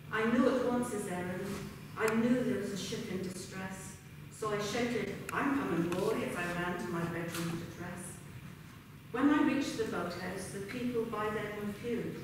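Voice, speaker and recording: Older woman, earnest verse reciter with measured cadence, heard from a distance in a reverberant, noisy hall. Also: medium pitch. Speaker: reader at a remembrance service. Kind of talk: poetry recitation. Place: large indoor hall with a congregation. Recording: very echoey hall, distant mic, background noise.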